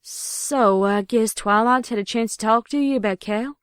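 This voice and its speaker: A woman's voice: fairly high pitch, moderate pace, clear, resonant tone, no echo, clean studio sound, natural voice. A woman voicing a caring, protective big-sister farm pony from a cartoon, folksy, with a Southern US accent. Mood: guarded.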